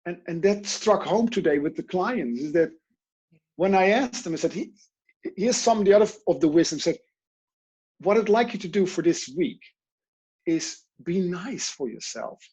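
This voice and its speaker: A man's voice: sits high, normal speed, husky, lo-fi sound. A man from the Netherlands with a Dutch accent, a coach talking in an online interview and acting out inner voices as he tells stories. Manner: animated, storytelling with quoted inner voices. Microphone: video call.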